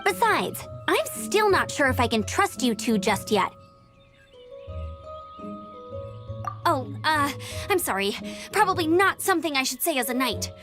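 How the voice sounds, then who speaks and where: A woman voicing an earnest, upbeat young female knight scout in an animated video game, slightly formal and eager to help. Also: sits high, normal speed. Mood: wary, stiffly formal. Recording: music playing under the voice.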